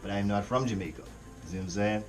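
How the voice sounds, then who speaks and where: A man's voice: on the low side, quick pace. A man from the Caribbean with a Caribbean accent, hosting a music reaction in a slangy, rambling, laid-back way. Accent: Caribbean English. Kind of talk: casual reaction commentary.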